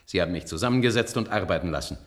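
Earnest, loyal robot with animated pitch. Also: male voice, racing. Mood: calm, reassuring. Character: loyal, stubborn male robot protective of his robot sons. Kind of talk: German science-fiction radio drama acting.

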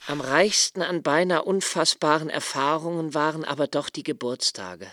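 An actor playing a reflective, melancholy diarist in a literary radio play, speaking intimately and pensively with halting phrasing. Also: male voice, on the high side, sharp tone, slight background noise. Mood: reflective, wry. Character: reflective, melancholy male diarist recalling love and childhood.

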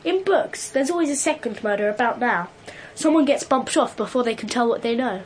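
A woman's voice: fairly high pitch, animated, a little fast, a little hiss or hum, lightly acted. An actress playing a precocious, morbid little girl detective in a radio drama, matter-of-fact and knowing.

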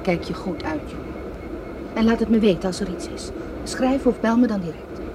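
A woman's voice: mid-range voice, animated, moderate pace, background noise, natural voice. An actress playing a fussing, affectionate mother in a radio play. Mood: anxious, caring. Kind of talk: radio drama acting.

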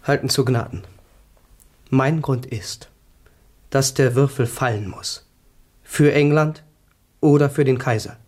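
An actor playing a proud young Prussian crown prince in a German radio play, speaking in a formal, courtly, declamatory way. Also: male voice, medium pitch, fairly even intonation, slow pace, pauses often, a little mellow, lightly acted. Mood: proud, defiant.

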